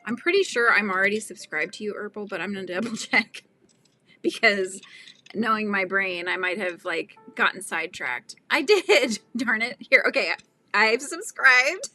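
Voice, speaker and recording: A woman's voice: mid-range voice, expressive, normal speed, bright, forceful tone, soft background music. A middle-aged woman hosting a casual crochet livestream, chatting and laughing as she reads viewer comments. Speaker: livestream host. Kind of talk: casual chat while crocheting.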